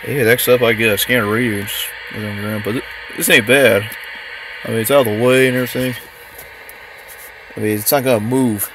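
A man's voice: mid-range voice, steady tone, a little fast, background noise. A man giving a casual, off-the-cuff show-and-tell, hesitant and thinking aloud, recorded on a handheld camera in and around a parked car. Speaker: vlogger. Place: in a parked car and just outside it.

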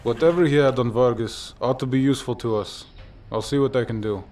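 Man playing a terse, measured secret agent. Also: mid-range voice, a little mellow, soft background music, natural voice. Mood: cool, resolute. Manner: measured, dry, unhurried. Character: terse, cool male secret agent, seasoned interrogator. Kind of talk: video game cutscene acting.

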